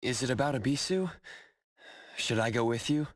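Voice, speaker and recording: A man's voice: medium pitch, lively intonation, moderate pace, no room sound, lightly acted. A man playing an earnest, inquisitive young bureau newcomer in a video game, speaking in a questioning way. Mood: curious. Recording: faint music behind a dry voice.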